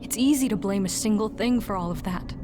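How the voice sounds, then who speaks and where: An actress playing a solemn, disillusioned woman warrior in a video game cutscene, grave and measured. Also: mid-range voice, music in the background, natural voice. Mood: sorrowful, resigned.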